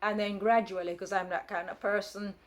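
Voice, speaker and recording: A woman's voice: mid-range voice, flat delivery, brisk. A woman in her fifties whose first language is Jamaican Patois tells a story to camera in a casual, rambling way, with many fillers and restarts and a firm, frank edge. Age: 50s. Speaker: vlogger.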